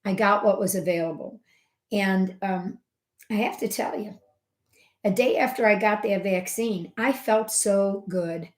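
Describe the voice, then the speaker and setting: A middle-aged woman, a homeopath hosting her own health channel, telling a personal story in a chatty, conversational way with many fillers and asides, her voice swinging from loud emphasis to soft asides. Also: mid-range voice, a little slow. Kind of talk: casual personal storytelling to camera. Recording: roomy echo.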